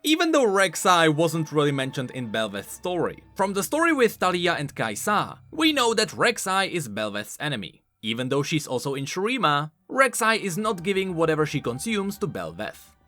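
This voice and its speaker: A man's voice: a little high, wildly swooping pitch, moderate pace, hardly any pauses, sharp tone. A man hosting a scripted explainer on video game lore, talking informally with emphatic, swooping stresses.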